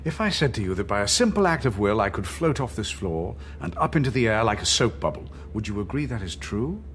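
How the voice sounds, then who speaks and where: An actor playing a calm, patronising interrogator in a radio drama, measured and condescending, with mock-kindly menace. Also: male voice, lowish voice, slight background noise. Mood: probing, controlled. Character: calm, patronising male interrogator of a totalitarian regime. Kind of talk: naturalistic radio drama acting.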